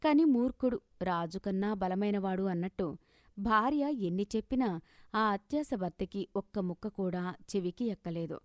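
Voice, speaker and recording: A woman with a medium-pitched voice narrates a scripted moral story. Her delivery is measured and expressive, and the recording is dry with no room sound. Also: no room sound.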